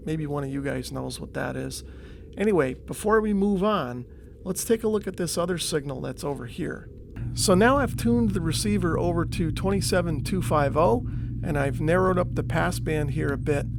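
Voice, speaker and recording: A man's voice: on the high side, gravelly, a little hiss or hum. A man hosting a hobbyist video, explaining step by step in a tentative, non-expert way as he walks through what is on screen. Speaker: radio hobbyist video host.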